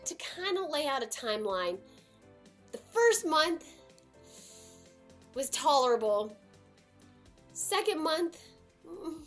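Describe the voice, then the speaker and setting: A woman's voice: sits high, fairly bright tone. An American woman in her twenties telling a personal story to camera at home, dramatic and emphatic. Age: 20s. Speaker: storytime vlogger. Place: small room at home.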